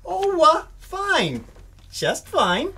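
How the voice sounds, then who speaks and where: A man's voice: very high voice, huge pitch swings, drawn-out words, bright, forceful tone, heavily acted. A man playing a naive, eager young studio worker, boyish and earnest with a stammer, in old-time radio drama style. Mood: casual.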